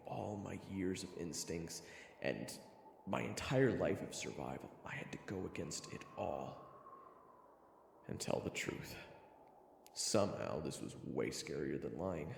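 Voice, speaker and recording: A man plays a sardonic, nervous young adventurer narrating a fantasy audio drama. His creaky voice swings dramatically in pitch, wry and theatrical, in a reverberant recording. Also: lively intonation, measured pace, darkish tone, slight background noise, heavily acted. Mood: anxious, wry. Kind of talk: first-person fantasy story narration and acting.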